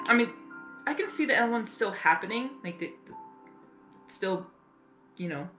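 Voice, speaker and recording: A woman's voice: medium pitch, reverberant. A woman giving a casual live reaction, thinking aloud and exclaiming as she goes. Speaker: reaction video host.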